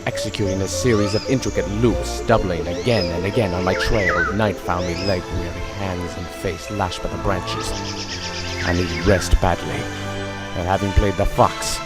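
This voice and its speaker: A man's voice: deep voice, lightly acted. A man playing a tense, weary hunter in a radio drama, telling his ordeal in a grave, dramatic way. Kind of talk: first-person radio drama narration.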